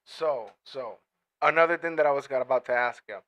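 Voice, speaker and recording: A man's voice: mid-range voice, a little fast, fairly bright tone, highs cut off. A man hosting a casual podcast interview, laid-back and given to repeating his own phrases. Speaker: podcast host.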